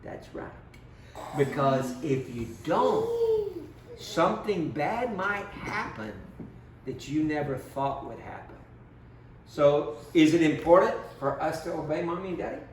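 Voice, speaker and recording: Slow, animated man teaching children, roomy sound. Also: on the high side. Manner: simple, instructive, questioning talk to small children. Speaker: children's pastor and storyteller. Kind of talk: children's Bible lesson and story time. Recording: roomy, distant mic with background noise.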